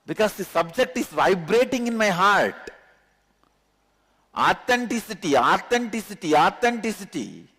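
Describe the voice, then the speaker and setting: A man with a thick Tamil accent delivers a spiritual discourse in a slow, unhurried rhythm, leaning on key words and repeating them with earnest emphasis. His voice sits a touch high for a man and rings out with the long reverb of a large hall. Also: sing-song, a bit bright. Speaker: spiritual teacher.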